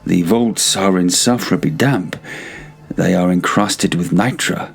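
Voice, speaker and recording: A man voicing a sly, falsely courteous avenger in a story reading, flattering and feigning concern. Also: sits low, unhurried, soft background music, heavily acted. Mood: feigned concern. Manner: smooth flattery, feigned reluctance.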